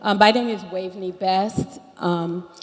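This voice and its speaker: A woman's voice: medium pitch, fairly even intonation, measured pace. A woman from Barbados with an American accent, speaking as a resident at a public meeting and laying out her complaints point by point. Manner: earnest and methodical, listing complaints point by point. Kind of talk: public comment at a town hall. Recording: echoey hall.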